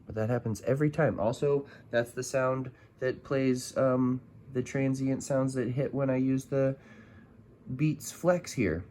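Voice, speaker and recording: A man's voice: mid-range voice, fairly even intonation, measured pace, dark tone, slight background noise. A man giving a casual, unscripted gadget review, informal and hesitant as he thinks aloud. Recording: music in the background.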